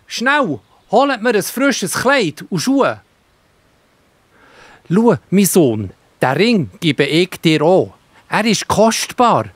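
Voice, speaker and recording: A man's voice: sits high, dry recording, full character voice. A man playing a loving, forgiving father in a Swiss German children's Bible radio play, heartfelt and joyful.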